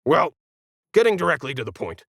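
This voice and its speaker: A man's voice: on the high side, very animated, fairly quick, a bit bright, breathy edge, dry recording, clean studio sound, full character voice. A man voicing a brash, sarcastic tentacled alien who talks down to humans in a science fiction story. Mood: exasperated explaining. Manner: snarky, condescending, slangy. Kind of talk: acted sci-fi storybook dialogue.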